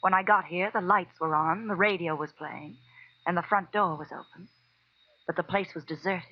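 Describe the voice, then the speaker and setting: An American actress playing a brisk, demanding client in a 1940s radio detective drama, tough but worried. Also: female voice, lowish voice, steady tone, natural voice. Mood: worried, urgent. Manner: clipped, commanding, insistent. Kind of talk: 1940s radio noir acting. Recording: dull, muffled vintage radio recording.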